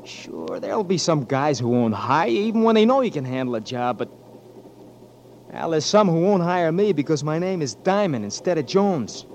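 A man's voice: on the high side, big pitch swings, normal speed, slight background noise. An actor playing a frank, caring young Marine who pleads earnestly with his friend, in 1940s radio-drama style.

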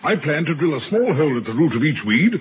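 Pompous colonel on noisy old radio. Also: male voice, on the high side, very animated, quick pace. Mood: smooth, evasive. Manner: pompous, indignant. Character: proud military colonel cornered as murder suspect. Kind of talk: old-time radio drama acting. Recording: noisy, phone-like vintage radio recording.

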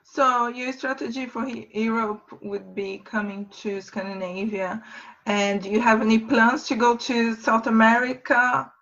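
A woman's voice: a little high, fairly even intonation, unhurried, a bit bright, lo-fi sound. A woman hosting an interview, asking questions and agreeing readily with short replies. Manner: agreeable, brief backchannels. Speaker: interviewer, host. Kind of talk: casual interview chat.

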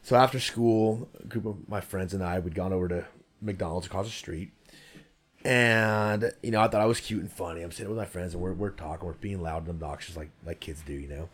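Guy with a low husky voice, talks fast, blunt and swears a lot. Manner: blunt, profane, emphatic, animated. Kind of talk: casual chat, storytelling.

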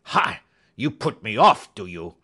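A man's voice: mid-range voice, a little slow, sharp tone, voice pushed up. Man acting snarling, furious old villain of a doctor. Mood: furious, menacing. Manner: snarling, blustering, threatening.

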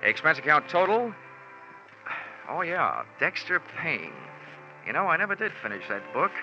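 A man's voice: a little high, moderate pace, heavily acted. Wry hard-boiled 1940s radio detective man. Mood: dry, sardonic. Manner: deadpan, sardonic. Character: wry hard-boiled male freelance insurance investigator. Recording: dull 1940s radio recording with music.

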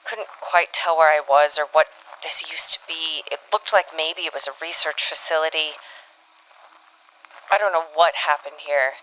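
A woman's voice: low voice, fairly bright tone, a little hiss or hum, poor audio. A woman playing a weary time-travelling agent, recording a hesitant field log in an improvised audio drama. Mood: shaken, weary. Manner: halting, hesitant, searching for words.

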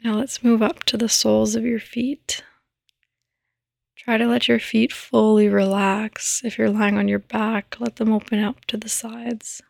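A woman speaks very slowly and softly, guiding listeners through a sleep meditation. Her higher-pitched voice stays even and soothing, often slipping toward a breathy whisper with creaky edges. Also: no room sound, studio quality. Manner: soothing, gentle, unhurried. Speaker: podcast host and meditation guide. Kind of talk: guided meditation, sleep podcast.

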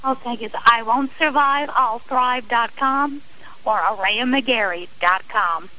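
A woman's voice: fairly high pitch, unhurried, sharp tone, poor audio. A woman speaking earnestly and effusively as a guest in a radio interview. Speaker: interview guest, abuse survivor and advocate.

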